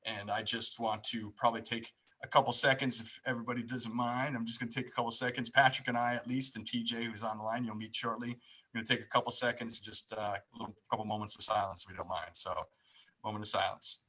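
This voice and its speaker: A man's voice: on the low side, fairly even intonation, fast talker, bright, forceful tone. A man hosting a casual live stream, talking informally and often repeating his phrases. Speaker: live show host.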